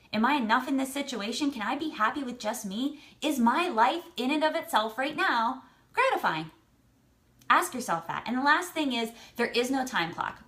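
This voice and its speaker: A woman's voice: on the high side, a bit bright. An American woman giving motivational advice to camera, blunt and emphatic, repeating phrases for stress. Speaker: vlogger giving personal advice.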